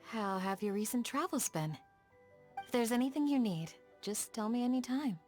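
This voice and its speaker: A woman voicing a gentle, dutiful young desert village guardian in a video game, speaking in a soft, measured, courteous way. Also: fairly high pitch, lively intonation, soft background music, close to an everyday voice. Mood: warm, reflective. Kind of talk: scripted game dialogue, storytelling.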